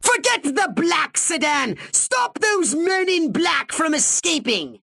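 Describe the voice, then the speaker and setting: A man playing a pompous, sarcastic, irritable alien commander in a video game, with a shrill raspy voice and theatrical delivery. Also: big pitch swings, slow, sharp tone, full character voice. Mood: angry, alarmed. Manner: pompous, haughty, melodramatic, sarcastic. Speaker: mission-briefing commander.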